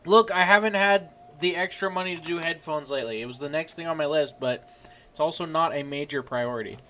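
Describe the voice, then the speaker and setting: A man's voice: on the high side, a little fast, pushed and bright, a little hiss or hum. A man chatting casually as a game master while running a sound check for an online stream. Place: home voice chat without headphones. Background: background music.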